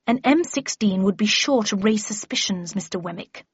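A woman voicing a terse, traditionalist gentleman adventurer with clipped, formal speech in an audiobook. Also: mid-range voice, animated, moderate pace, breathy edge. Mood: self-assured. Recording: muffled audio, roomy echo.

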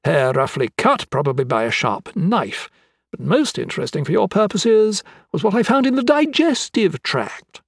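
A man's voice: sits high, big pitch swings, moderate pace, gravelly, slightly rough, no room sound, studio quality, voice pushed up, heavily acted. A man voicing a cheerful, youthful, eccentric anatomist in an audiobook, effusive and chatty with a macabre relish. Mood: eager, chatty.

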